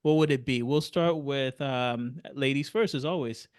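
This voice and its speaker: A man hosting a podcast panel, animated and quick to laugh, repeating himself as he talks. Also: medium pitch, clear, resonant tone, dry recording, clean studio sound. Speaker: podcast host. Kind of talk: casual panel chat.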